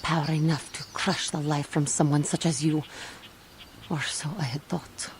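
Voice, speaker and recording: A woman's voice: low-pitched, flat delivery, normal speed, a little hiss or hum, lightly acted. A woman playing a proud, bitter fallen Jedi tormented by guilt, with intense, theatrical and formal delivery in a video game. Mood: menacing, proud. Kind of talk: dramatic video game voice acting.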